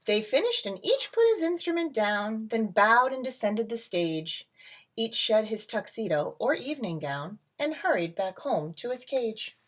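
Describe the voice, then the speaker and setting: A woman reading a picture book aloud to children as a teacher, with dramatic emphasis, drawn-out words and casual asides. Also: on the high side, sing-song, fairly bright tone. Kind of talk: expressive storybook read-aloud with casual asides. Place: at home.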